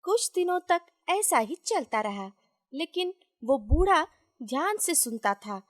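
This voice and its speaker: A woman storyteller reading a scripted moral tale in a measured, deliberate way. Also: high-pitched, sing-song.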